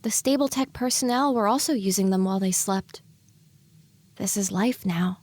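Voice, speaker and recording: A woman's voice: mid-range voice, moderate pace, darkish tone, no echo, lightly acted. A woman playing a bewildered young female pony thinking aloud in an introspective, wry way in an audio drama. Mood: pensive, uneasy. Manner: musing, questioning inner monologue.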